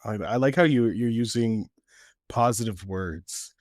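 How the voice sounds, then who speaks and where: Man, podcast host, encouraging, restarts questions, low voice, dry sound. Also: measured pace, darkish tone, no echo. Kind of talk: casual interview chat.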